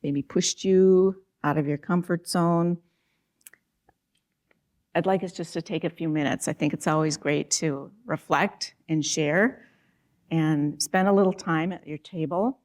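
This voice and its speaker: Low-voiced woman leading a panel. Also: fairly even intonation, measured pace, darkish tone. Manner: earnest, with frequent restarts and fillers. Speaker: panel moderator. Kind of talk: reflective panel talk.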